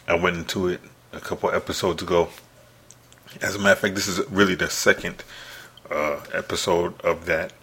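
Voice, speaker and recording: A man hosting his own podcast from an apartment, speaking softly in a halting, rambling monologue full of restarts and fillers. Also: low-pitched, husky. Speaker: podcast host.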